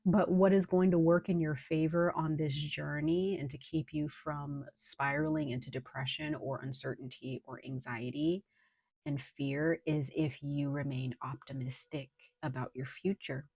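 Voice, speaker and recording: Low-voiced woman with a cold. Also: fairly even intonation, gravelly, darkish tone, dry recording. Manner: unhurried and reassuring, speaks directly to viewers with frequent ums. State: under the weather with a cold, slightly hoarse. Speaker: tarot reader. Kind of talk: casual tarot reading to viewers.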